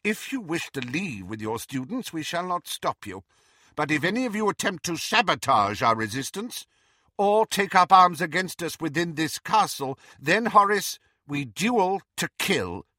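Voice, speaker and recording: A man voicing a stern, commanding older female professor in an audiobook, brisk and sharp in manner. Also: fairly high pitch, sing-song, no room sound, studio quality, pitched above the performer's own voice, exaggerated voice.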